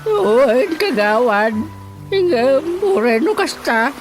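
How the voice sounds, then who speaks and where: High-voiced Ilocano village woman. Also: sing-song, slow, exaggerated voice. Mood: worried. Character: worried village woman seeking a folk healer's help. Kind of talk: Ilocano radio drama acting. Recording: faint music behind the voice.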